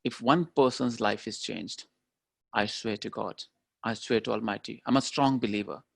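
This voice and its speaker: A man's voice: lowish voice, fairly even intonation, moderate pace, creaky, dry recording, studio quality. A man giving a motivational keynote speech, talking emphatically and repeating his key phrases. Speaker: keynote speaker.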